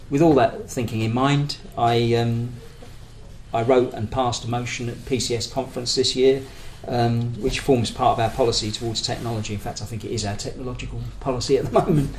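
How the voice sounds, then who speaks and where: A man's voice: medium pitch, a little mellow. A British man giving a political talk as a trade union speaker, in a hesitant, discursive way, searching for his words as he builds his argument. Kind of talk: conference talk, semi-prepared political argument. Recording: echoey room with faint background noise.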